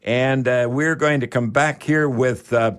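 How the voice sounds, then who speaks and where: An older man with a Canadian accent hosting a casual radio talk show in a folksy, halting way. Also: medium pitch, fairly even intonation, smooth.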